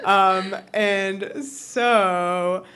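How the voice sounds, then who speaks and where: A woman's voice: mid-range voice, fairly even intonation, extremely slow, noisy background. A woman co-hosting a podcast, chatting casually through a TV recap in a giggly, conversational way peppered with "like.". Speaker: podcast co-host.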